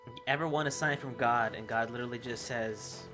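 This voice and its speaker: A game streamer giving casual, excitable and playful commentary on a playthrough. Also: mid-range voice, a little fast, music in the background.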